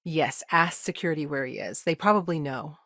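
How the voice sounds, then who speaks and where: A woman playing a busy, dry-witted professional lawyer in a sci-fi video game, speaking in a measured, articulate way. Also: sits low, fairly bright tone, dry recording. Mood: businesslike. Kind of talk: scripted game dialogue.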